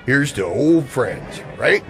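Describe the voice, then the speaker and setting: A man's voice: mid-range voice, big pitch swings, slow pace, a bit bright, exaggerated voice. An actor playing an edgy, secretive man in radio play dialogue, coy and evasive with an old friend. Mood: friendly, teasing. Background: music.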